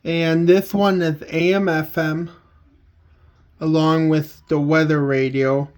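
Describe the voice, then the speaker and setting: Male hobbyist vlogger, lightly raised pitch, very unhurried and halting, plain-spoken casual walk-through at home. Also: flat delivery, darkish tone. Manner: halting, plain-spoken, pausing mid-sentence. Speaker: hobbyist vlogger showing a collection. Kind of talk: casual unscripted home video tour. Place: indoors at home, walking between rooms.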